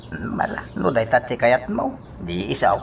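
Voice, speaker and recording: A man playing a caring elderly father in a radio drama, with a gravelly voice and an Ilocano accent. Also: mid-range voice, huge pitch swings, moderate pace. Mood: concerned, approving. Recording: very noisy track with insect chirps.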